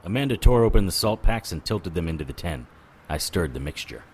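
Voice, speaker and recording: A man's voice: sits low, expressive, brisk, breathy edge. An actor playing a wry, world-weary occult detective who narrates his own story in a noir-style audio drama. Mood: grim narration. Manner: wisecracking, sardonic. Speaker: lead actor and first-person narrator.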